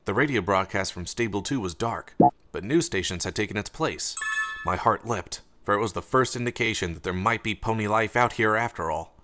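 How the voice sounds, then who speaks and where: A man reading first-person fiction as an audiobook narrator, in a measured, dramatic way. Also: on the low side, background noise.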